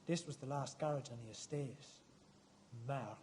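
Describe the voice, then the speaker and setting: An actor playing an aggressive, touchy, foul-mouthed brother out for revenge, needling and repeating himself in a radio play. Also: male voice, mid-range voice, moderate pace, a little mellow, slight background noise, natural voice. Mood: menacing, indignant. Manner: needling, repetitive, swearing.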